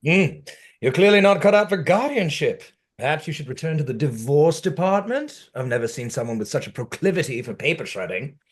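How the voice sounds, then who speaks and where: A man acting a melancholy, dry-witted man who has been dead over a century, in a deliberate, brooding table-read delivery. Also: a little high, sing-song, slightly rough. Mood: dry, teasing.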